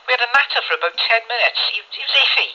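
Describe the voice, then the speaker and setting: A man's voice: extremely high pitch, expressive, fast talker, pushed and bright. A man chatting casually over the radio in a rambling, chummy way, with mild swearing. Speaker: amateur CB radio operator. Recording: dull lo-fi radio audio, phone recording.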